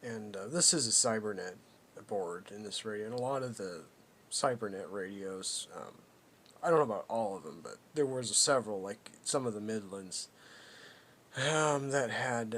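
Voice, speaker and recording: A man giving a casual, unscripted product walkthrough as a hobbyist, haltingly thinking aloud as he goes. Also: lowish voice, a bit bright, slight background noise. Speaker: hobbyist presenter demonstrating a vintage CB radio.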